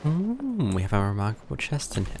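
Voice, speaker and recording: A man's voice: medium pitch, huge pitch swings, brisk, gravelly. A British man giving casual live game commentary, muttering his thoughts aloud with dry understatement. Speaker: game livestreamer. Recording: game music behind the voice. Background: video game music.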